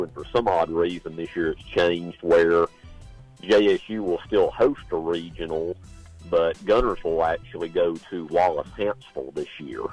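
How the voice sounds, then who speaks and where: A man, a sports analyst on a call-in radio show, reporting local sports scores in a relaxed, rambling way with many uhs. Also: low-pitched, no echo, low-quality recording.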